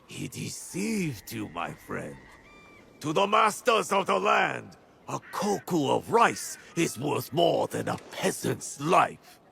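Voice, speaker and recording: Scornful warlord, video game drama, slow and grandiose, wide swings in pitch, forceful edge. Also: male voice, big pitch swings, a little hiss or hum, full character voice. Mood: scornful, defiant. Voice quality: gravelly, forceful. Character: defiant, grandiose rebel warlord, scornful and theatrical.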